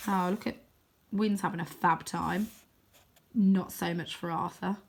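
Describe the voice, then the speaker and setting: A woman reading a children's novel aloud as expressive narration, with dramatic, animated emphasis. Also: fairly low pitch, measured pace, vocal fry, slight background noise.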